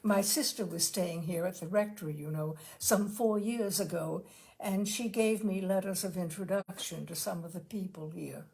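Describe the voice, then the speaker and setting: A woman voicing a nervous, polite gentleman caller in a storybook, with a mild, hesitant manner. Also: fairly low pitch, moderate pace, gravelly. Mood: polite, regretful.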